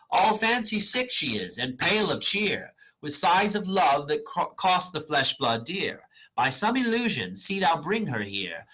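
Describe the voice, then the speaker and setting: A man's voice: on the high side, sharp tone, slightly rough, voice pushed up, heavily acted. Oberon, a man reading as a commanding fairy king. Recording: dull lo-fi recording.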